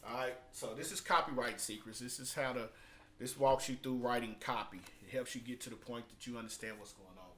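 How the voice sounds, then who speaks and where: A man's voice: mid-range voice, fairly quick, a bit bright, a little hiss or hum. A man talking in a casual vlog review style, conversational and emphatic, repeating phrases to drive points home. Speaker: product reviewer and online marketer.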